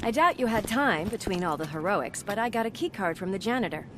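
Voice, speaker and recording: An actress as a brisk, wry policewoman. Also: mid-range voice, lively intonation, music in the background, lightly acted. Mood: dry, businesslike. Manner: brisk, teasing, plain-spoken. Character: tough, wry female police officer, loyal partner. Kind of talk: video game cutscene acting.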